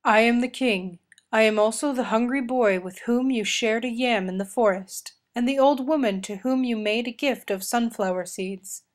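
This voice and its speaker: A kind, regal snake king from a storybook, read by a woman. The voice is fairly high, with a calm and formal delivery. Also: normal speed, close to an everyday voice. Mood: kindly solemn.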